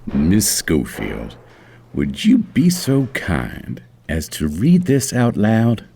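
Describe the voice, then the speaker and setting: An actor playing a smooth, condescending crooked banker in an audio drama, with drawn-out, falsely courteous speech and a very deep, gravelly voice. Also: male voice, wildly swooping pitch, dark tone, slight background noise, heavily acted. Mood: patronizing.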